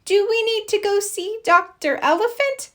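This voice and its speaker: A woman voicing a curious, excitable little girl pig in a storybook, with a childlike, eager delivery. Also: very high-pitched, normal speed, voice pushed up. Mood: surprised, worried. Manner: eager, exclaiming.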